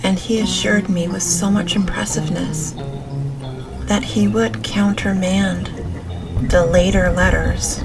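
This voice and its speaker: Gentle, slow, even-toned woman narrator. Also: lowish voice, rough recording. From Canada. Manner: gentle, measured. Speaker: audiobook narrator. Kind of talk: gentle scripted audiobook narration of a classic gothic novel. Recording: music behind the voice. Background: music.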